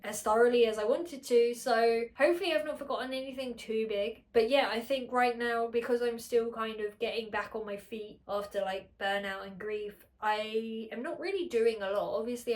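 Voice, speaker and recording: A woman's voice: a little high, normal speed, few pauses. A woman with a slightly nasal, congested voice, chatting casually to camera at home, rambling, filling her talk with "like" and correcting herself. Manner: rambling, with many filler "like"s and self-corrections. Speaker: vlogger. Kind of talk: casual unscripted chat to camera.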